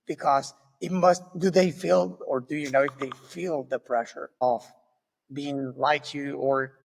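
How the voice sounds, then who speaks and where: A man from Argentina with an Argentine Spanish accent, hosting a podcast interview in a friendly, halting way with small restarts; Spanish is his first language. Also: a little high, steady tone, gravelly, big-room sound, rough recording. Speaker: podcast host. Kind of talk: casual interview.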